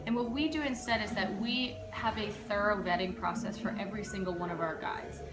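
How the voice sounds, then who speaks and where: A woman's voice: mid-range voice, steady tone, brisk. A young woman from Chile giving explanatory answers as a company founder in an interview. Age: young adult. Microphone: camera a few feet away. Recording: roomy echo, loud music behind.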